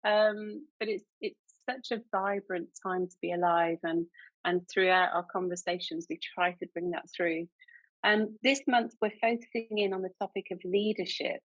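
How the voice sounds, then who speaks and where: A woman's voice: medium pitch, level tone, moderate pace, a bit bright, dry recording. A woman hosting a podcast, introducing her guest in an enthusiastic, chatty way with many restarts. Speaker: podcast host. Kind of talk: podcast interview. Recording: dull, dry sound.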